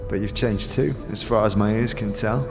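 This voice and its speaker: Gravelly creak, man acting old undead fool, roguish, bitterly jovial, archaic street slang, long echoing reverb, music behind, audio drama. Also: on the low side, fairly even intonation, moderate pace, close to an everyday voice. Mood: jovial, teasing.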